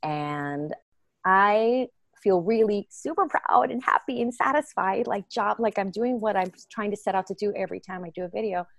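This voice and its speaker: A woman being interviewed about reading children's books online, talking in an earnest, expressive way and laughing easily. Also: medium pitch, normal speed, vocal fry, dry recording, studio quality. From United States. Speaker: guest, children's book reader. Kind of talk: casual interview answers.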